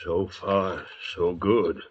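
An actor in a 1940s radio drama playing a surly, suspicious convict thief, gruff and blunt. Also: male voice, sits low, level tone, drawn-out words, full character voice. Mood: wary. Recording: muffled old radio recording.

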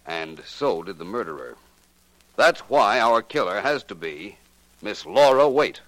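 Low-voiced, expressive radio detective. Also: male voice, no echo, low-quality recording. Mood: assured, accusing. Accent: American. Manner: measured, pointed, coolly deliberate. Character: suave, assured male amateur detective solving a murder. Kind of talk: 1940s radio detective drama acting. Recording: vintage 1940s radio recording.

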